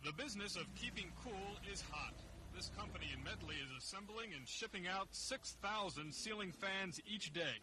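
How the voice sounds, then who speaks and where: American male news reporter, notably high pitch, scripted narration, faintly noisy archival TV audio. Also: lively intonation, normal speed.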